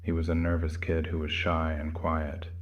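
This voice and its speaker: A man's voice: very deep voice, monotone, normal speed, dull audio. A man giving scripted narration for a horror audio drama.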